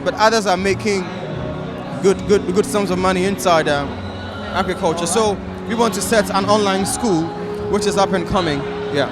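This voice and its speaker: A man's voice: high voice, moderate pace. A man from Ghana, an agriculture entrepreneur, explaining his work steadily in a red carpet interview. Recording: music and crowd behind.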